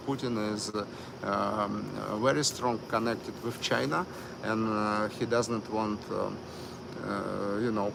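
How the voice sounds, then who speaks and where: A man hosting a live stream, talking slowly with many fillers and broken grammar. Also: on the low side, creaky, noisy background. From United States. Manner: hesitant, many fillers, non-native grammar. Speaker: livestream host.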